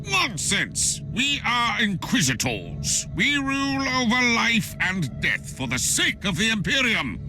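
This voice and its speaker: An actor playing a scornful, zealous Inquisitor, imperious and declamatory, in a theatrical game cutscene. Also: male voice, high-pitched, wildly swooping pitch, takes it slowly, few pauses, over music, full character voice, low-quality recording. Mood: indignant.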